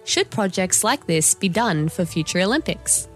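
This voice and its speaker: A woman's voice: fairly low pitch, animated, normal speed, faint music behind. A woman reporting a news feature and asking interview questions. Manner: scripted reporting.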